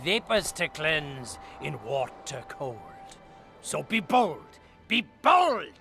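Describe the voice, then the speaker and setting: Wildly swooping drunken scribe. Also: male voice, on the high side, very animated, slow, gravelly, bright, forceful tone, soft background music, exaggerated voice. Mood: raving, declamatory. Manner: erratic, theatrical drunken rambling. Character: drunken, raving male scribe, cranky and eccentric. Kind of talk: video game voice acting.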